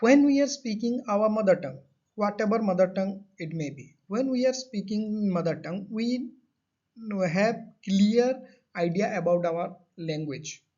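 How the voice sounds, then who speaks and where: A man's voice: sits high, expressive, normal speed, warm tone. A man giving a classroom lecture, explaining with everyday examples and repeating his points as he goes. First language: not Hindi. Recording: dull lo-fi audio.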